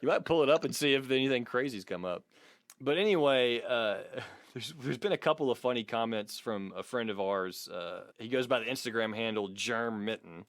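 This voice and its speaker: A man's voice: mid-range voice, expressive, moderate pace, fairly bright tone, no room sound, clean studio sound. A man co-hosting a podcast in casual, joking, sweary chat, reading out listener comments. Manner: profane, joking. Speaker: podcast co-host.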